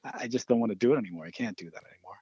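Hesitant man with husky muffled voice. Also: medium pitch, very fast, warm tone, no room sound. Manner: hesitant, stammers and laughs often. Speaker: singer-songwriter guest. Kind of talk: casual interview chat.

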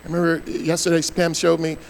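An older man, a pastor preaching a sermon, his voice hoarse, swinging between loud bursts and soft asides. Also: on the high side, completely flat delivery, fast talker, slight background noise.

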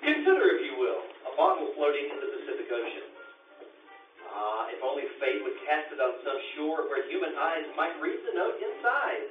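Guy doing grand 50s radio announcing, big swings in pitch, echoey and muffled. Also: big pitch swings, normal speed, music in the background. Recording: echoey, muffled, distant stage audio.

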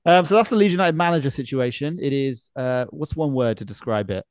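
A man's voice: mid-range voice, a little fast, smooth, dry recording, clean studio sound. A man hosting a podcast, talking casually through sports discussion. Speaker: podcast host.

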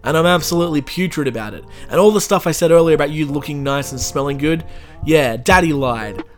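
A man's voice: on the high side, a little fast, soft background music. A man hosting his own podcast, reading a history story and then rambling and joking at himself in a casual sign-off. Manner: self-mocking, rambling, jokey. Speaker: podcast host.

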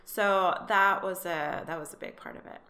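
A woman from Iran telling her personal story as an interview guest, speaking haltingly and repeating words as she thinks. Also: fairly low pitch, steady tone, pushed and bright.

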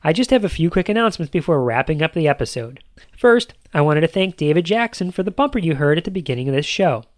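A man's voice: fairly high pitch, lively intonation, fast, dry recording, clean studio sound. A man in his thirties hosting a podcast, reading scripted announcements in an upbeat, promotional way. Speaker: podcast host.

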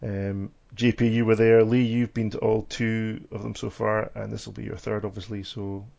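A Scottish man with a low, gravelly voice hosts a relaxed podcast conversation. He is chatty, laughs easily, and trips over restarts as he goes. The sound is dull, lo-fi call audio. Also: moderate pace. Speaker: podcast host. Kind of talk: casual chat on an online call. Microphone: remote call microphone.

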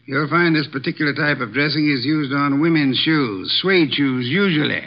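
A grand, imperious old detective lays out deductions in a deliberate, pompous drawl. His voice is gravelly and a touch high. It comes through a dull, lo-fi 1950 radio transcription. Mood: coolly deductive. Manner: pompous, deliberate, clipped commands. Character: corpulent older male genius detective, imperious. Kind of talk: 1950s radio drama acting.

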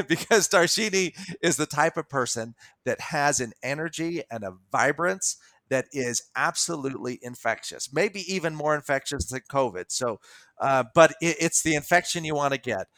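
American man hosting, halting delivery, close and dry. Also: fairly high pitch, animated, a bit bright, no echo. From United States. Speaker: podcast co-host. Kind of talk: casual podcast introduction and chat.

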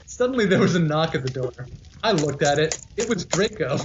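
Comic fan fiction narrator, mid pitch, animated intonation, mock-earnest, muffled faintly noisy recording. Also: fairly quick. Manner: mock-earnest, keeps misspelt words as written. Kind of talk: comic dramatic reading, narration.

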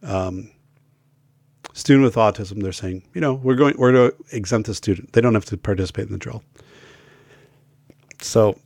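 A man's voice: fairly low pitch, moderate pace, creaky, long pauses, a little mellow, no room sound, clean studio sound. A middle-aged man hosting a school safety talk show, losing his voice and feeling unwell, speaking emphatically with many fillers and restarts. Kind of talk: casual talk to camera.

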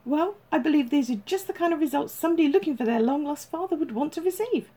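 A woman's voice: high voice, animated, quick pace, warm tone, voice pushed up. A woman voicing a chirpy, upbeat female agency caller in an audiobook, with formal but chatty phone patter. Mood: congratulatory, chatty.

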